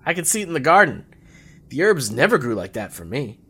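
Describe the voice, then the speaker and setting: A garrulous old rebel in an audio drama. His talk is folksy and theatrical, with pitch that swings about freely as he schemes and holds forth. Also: very animated, a little fast, bright, forceful tone, husky, heavily acted. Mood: wistful. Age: older. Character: jovial old male revolutionary, garrulous and scheming.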